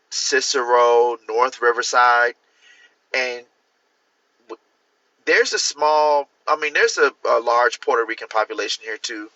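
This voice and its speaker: A man from Miami chatting casually on a livestream, rambling with restarts and jumping up in pitch to stress a point. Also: sharp tone, dry recording, dull audio. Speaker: author hosting a livestream. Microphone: phone or computer mic.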